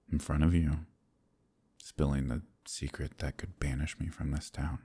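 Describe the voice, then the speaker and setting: Guy doing a troubled gentle werewolf man, super deep husky voice, soft formal old fashioned talk, romantic asmr roleplay. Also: heavily acted. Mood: confiding. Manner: soft-spoken, formal old-fashioned phrasing, hesitant pauses.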